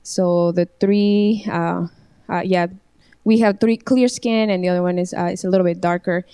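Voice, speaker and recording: Woman with a Mexican accent, smooth voice. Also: fairly low pitch, fairly even intonation, moderate pace, mellow, no echo, studio quality. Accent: Mexican Spanish. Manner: hesitant, many ums and restarts. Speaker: guest. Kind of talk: casual panel conversation.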